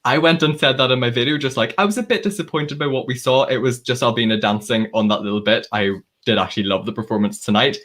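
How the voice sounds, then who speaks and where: A man hosts a relaxed chat over a video call. His voice sits at a middle pitch. He gives his opinions in an easy, self-mocking way. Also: fast talker, few pauses. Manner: opinionated, relaxed, laughs at himself. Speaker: host. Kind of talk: casual panel chat.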